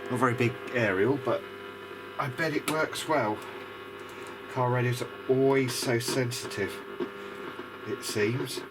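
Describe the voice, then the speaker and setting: A man's voice: mid-range voice, a little slow, long pauses, noisy background. A British man giving a casual, muttering commentary as he works on a repair, mildly grumbling and self-deprecating. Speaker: hobbyist electronics video diarist.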